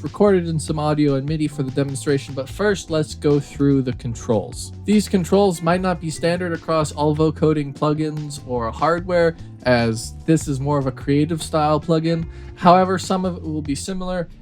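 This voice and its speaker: A man presenting a software tutorial, explaining things step by step in a casual way. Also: on the high side.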